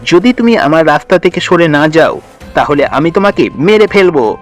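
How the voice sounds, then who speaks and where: A threatening villain sorcerer from a fairy-tale cartoon, acted by a man. The voice sits fairly high and barks commands with menace. Music plays behind it. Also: animated, moderate pace, natural voice.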